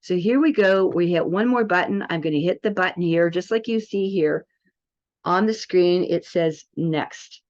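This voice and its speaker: A woman's voice: fairly low pitch, moderate pace, a little mellow. A woman giving a casual product demo, chatty and enthusiastic as she speaks directly to viewers. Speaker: presenter demonstrating a health product. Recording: dull, lo-fi sound.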